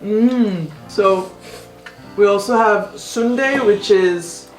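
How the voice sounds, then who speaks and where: A woman hosting a food vlog, explaining each dish to her viewers in a relaxed, casual way. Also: medium pitch, very slow. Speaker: vlog host. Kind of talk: casual chat over food. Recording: echoey room with faint music.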